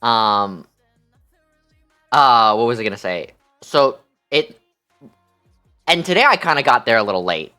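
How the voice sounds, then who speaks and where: A man's voice: mid-range voice, expressive, measured pace, long pauses, pushed and bright, faint music behind. A man chatting casually on a livestream in a sassy, campy, slangy way peppered with swearing. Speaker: live streamer.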